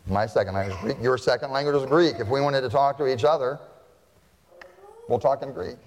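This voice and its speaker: An American pastor preaching a sermon, talking to the congregation in a conversational way and asking them frequent questions. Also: male voice, mid-range voice, a little fast, in a large hall. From United States. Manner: conversational, asks the audience questions.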